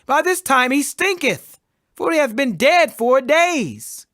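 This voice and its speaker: A man's voice: extremely high pitch, very animated, slow, a bit bright, dry recording, studio quality, voice pushed up, exaggerated voice. A man voicing a grieving, devout woman who pleads earnestly in a dramatized scripture reading. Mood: grieving, pleading.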